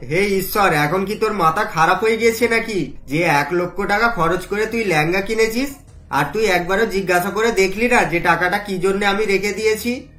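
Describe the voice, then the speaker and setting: A woman's voice: mid-range voice, moderate pace, a bit bright, lightly acted. A woman voicing a doting, worried middle-class father in a cartoon, earnest and fatherly. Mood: shocked. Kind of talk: cartoon voice acting. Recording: roomy echo, music behind.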